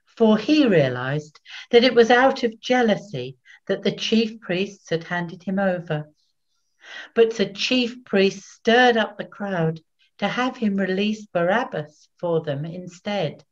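An older woman gives a solemn scripture narration in short measured phrases. The pace is slow and the pitch ordinary, recorded a little dully over a home video call. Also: lively intonation. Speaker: narrator, Evangelist. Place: small room at home. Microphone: computer video call. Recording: dull lo-fi video-call audio.

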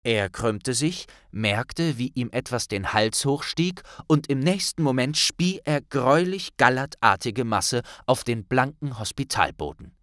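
A man's voice: medium pitch, lively intonation, unhurried, few pauses, fairly bright tone, no echo, clean studio sound. A man narrating a fantasy audiobook in a scripted, dramatic storytelling style.

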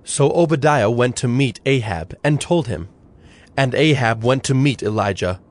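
A man narrating scripture from a script in a measured, solemn way. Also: mid-range voice, lively intonation. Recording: music under the voice.

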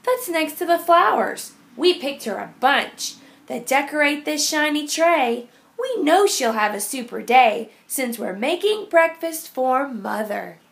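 A woman with a high voice reads a picture book to small children. Her delivery is very slow and sing-song, with a noticeable creak in the voice. She places each phrase deliberately, as a teacher would. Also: big pitch swings. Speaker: teacher reading aloud. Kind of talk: picture-book read-aloud for young children.

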